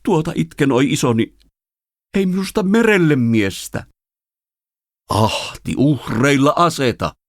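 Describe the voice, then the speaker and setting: Guy doing scared anguished young son, pleading in old verse, voice way up high, super dry sound. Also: lively intonation, pauses often, dry recording. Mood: anguished lament. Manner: pleading, lamenting recitation. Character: frightened, anguished young son, oversensitive and fearful.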